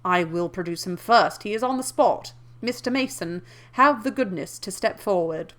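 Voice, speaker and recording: Female reader as male lawyer, storybook character, nasal tone, calm, official, deliberate diction. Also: medium pitch, lightly acted. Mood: firm, formal. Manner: formal, deliberate, distinct.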